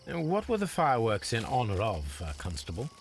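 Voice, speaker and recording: An actor playing a shrewd, composed Victorian gentleman detective with an English accent, formal and measured, in a video game drama. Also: mid-range voice, huge pitch swings, slight background noise. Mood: inquiring, courteous.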